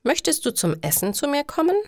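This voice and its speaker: A woman's voice: high-pitched, very animated, a little fast, a little mellow, no room sound, studio quality, voice pushed up. A woman voicing an eager, inviting best friend in a story. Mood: delighted, inviting.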